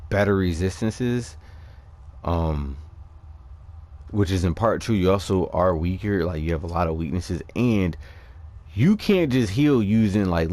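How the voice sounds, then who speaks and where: Low voiced gamer guy talking slow, kinda halting, walking thru his game stuff off the cuff. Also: a little mellow. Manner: halting, unscripted, thinking aloud. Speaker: gamer presenting a character build. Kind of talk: casual gameplay commentary.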